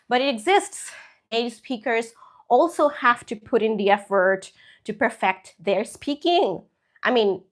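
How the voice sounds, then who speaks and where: Chatty woman teaching. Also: medium pitch. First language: Hindi. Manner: chatty, animated, frequent asides and tag questions. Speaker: English teacher. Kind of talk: live stream English lesson.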